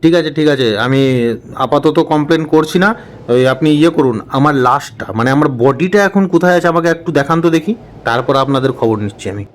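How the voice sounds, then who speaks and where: A man's voice: fairly high pitch, expressive, brisk, close to an everyday voice. A man voicing a brash, indignant ordinary village husband in a comic cartoon, protesting and insistent.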